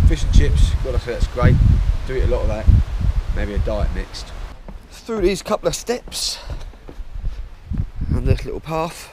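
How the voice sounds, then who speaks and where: A man in his forties giving a chatty, down-to-earth travel vlog, filmed on an action camera held at arm's length outdoors. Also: medium pitch. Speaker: motorcycle vlogger. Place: outdoors, hotel grounds and ferry deck. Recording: outdoor camera with clear background noise.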